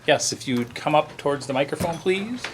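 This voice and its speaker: A man chairing a school board meeting in a procedural, brisk way. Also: a little high, animated, a crowd in the background.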